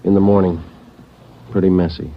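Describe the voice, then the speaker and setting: An actor playing a terse, hard-boiled police detective who narrates a 1940s radio crime drama in a clipped, flat, matter-of-fact way. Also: male voice, deep voice, moderate pace, creaky, noisy background. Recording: dull old radio broadcast recording.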